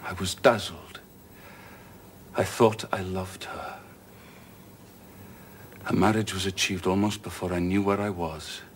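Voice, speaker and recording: An actor playing a brooding, passionate gentleman in a period drama, moving from low confiding tones to impassioned pleading. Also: steady tone, a little slow, long pauses, a little hiss or hum.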